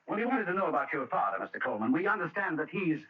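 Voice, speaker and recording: A nervous school official in an old radio drama. His voice is fairly high and swings up and down as he stammers formal apologies. It sounds somewhat distant from the microphone. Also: big pitch swings, very fast, lo-fi sound. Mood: nervous, apologetic.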